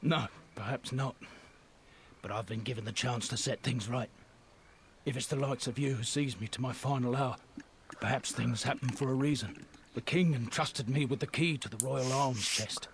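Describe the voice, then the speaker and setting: A male actor plays a weary, dying soldier from a fantasy video game, burdened by guilt. His voice is gravelly and mid-pitched. He speaks earnestly and haltingly, with faint noise behind him. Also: close to an everyday voice. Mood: solemn, resolved. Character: weary, guilt-ridden dying soldier, a king's former guard.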